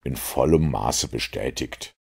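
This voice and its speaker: A man voicing a composed master detective in an audiobook, lecturing in a measured, self-assured way. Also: extremely low pitch, vocal fry, husky, exaggerated voice.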